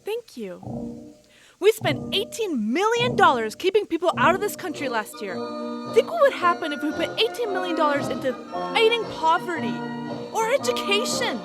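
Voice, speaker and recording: A woman's voice: very high-pitched, big pitch swings, moderate pace. A woman playing a talkative, opinionated dinner date in an audio drama. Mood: indignant. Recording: loud music under the voice.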